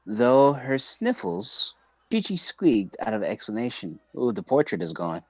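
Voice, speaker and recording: A man's voice: mid-range voice, big pitch swings, unhurried, no room sound. A man reading visual novel narration aloud as a streamer, occasionally stumbling and rereading words.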